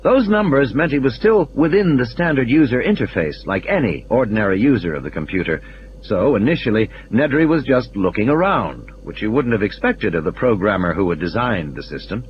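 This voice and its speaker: Male audiobook narrator, scripted dramatic reading, animated intonation, slightly dull sound, faint background ambience. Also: medium pitch, brisk, slight background noise. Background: faint ambient sound effects.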